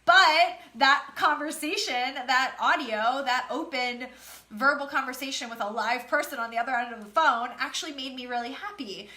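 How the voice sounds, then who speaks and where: A woman's voice: a little high, bright, forceful tone. A woman business coach giving a casual, emphatic motivational talk, slightly nasal and congested from a cold, repeating her key phrases.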